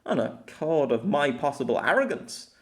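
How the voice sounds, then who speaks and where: A man's voice: fairly high pitch, sing-song, normal speed. A man voicing a nonchalant, courteous knight in a fantasy audiobook, speaking in a polite, formal, apologetic way.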